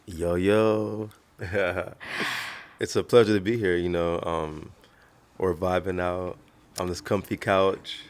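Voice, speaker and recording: A man chats casually as a podcast guest in a laid-back way. His voice is a little deep and very smooth, and his intonation stays fairly even. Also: takes it slowly. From Nigerian heritage, grew up in the United States. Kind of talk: casual chat.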